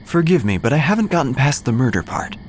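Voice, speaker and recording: A man narrating a dark comic story in a dry, deadpan way. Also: medium pitch, fast talker, a little hiss or hum. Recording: music bed under the voice.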